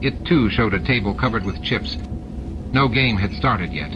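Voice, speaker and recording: A man's voice: fairly low pitch, lively intonation, moderate pace, noisy background. A man reading a novel as scripted audiobook narration, with music and sound effects behind him. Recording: dull lo-fi audiobook dub.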